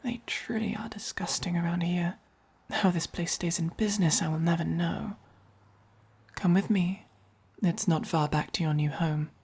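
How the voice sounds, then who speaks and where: A woman with an English accent voicing a haughty, possessive but caring vampire in a soft-spoken ASMR roleplay, with a breathy, commanding delivery. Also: lowish voice, steady tone. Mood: protective, soothing. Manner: slow, deliberate, commanding.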